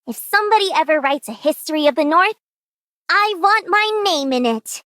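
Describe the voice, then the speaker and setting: High-voiced, hopeful girl. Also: lively intonation, normal speed, sharp tone, no echo, full character voice. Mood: bright, ambitious. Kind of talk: scripted game character monologue.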